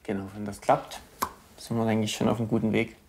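A 27-year-old man speaking calmly and agreeably in a casual documentary chat. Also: lowish voice, creaky, a little hiss or hum. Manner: calm, measured and agreeable. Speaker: reality documentary participant.

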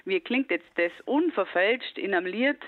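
A woman with a warm Allgäu dialect calls in as a guest singer. Her voice comes through a thin, phone-like line with no room sound. She talks in a loose, chatty way, full of small hesitations. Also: medium pitch, animated, moderate pace, no room sound. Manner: chatty, hesitant with many fillers, laughs easily. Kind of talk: casual phone interview. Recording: tinny dry phone line.

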